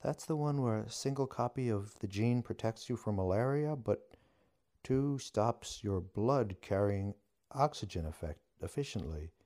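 Audiobook role: male reader as a fledgling witch, young woman, tender and anxious, lifted pitch, halting delivery. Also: lively intonation, dark tone. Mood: earnest. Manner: hesitant, trailing off.

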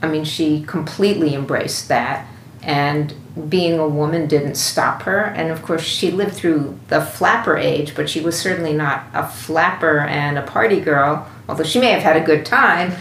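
A woman's voice: sits low, moderate pace, fairly bright tone, a little hiss or hum. A woman giving thoughtful, unscripted interview answers as a guest. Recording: roomy echo, faint music.

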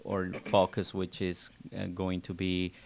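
A man with a Colombian accent speaks as a guest on a radio talk show. His voice is very deep, gravelly, and flat, and he halts with fillers while staying good-humored. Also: measured pace, a little hiss or hum. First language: Spanish. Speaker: radio guest, nonprofit founder.

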